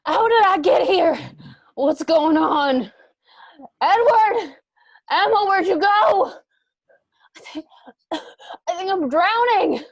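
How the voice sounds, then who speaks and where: Distraught mother for an actress: high voice, very slow halting delivery, dry close sound. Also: expressive, no room sound, full character voice. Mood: panicked. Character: bewildered, distraught mother lost in a nightmare. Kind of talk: radio drama acting.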